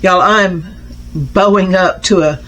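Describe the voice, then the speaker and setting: A woman hosting a casual crafting unboxing vlog, chatty and homey, slightly raspy from a starting cold. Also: on the low side, takes it slowly, fairly bright tone, dull audio. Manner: chatty, homey, addresses viewers as y'all. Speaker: crafting channel host.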